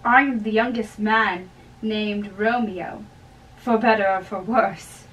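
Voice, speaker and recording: A young woman giving a theatrical, deliberate dramatic reading of play dialogue. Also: mid-range voice, fairly even intonation, a bit bright, a little hiss or hum. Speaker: amateur reader of a play. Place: small bedroom, indoors. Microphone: camera a few metres away. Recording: distant camera in a bedroom.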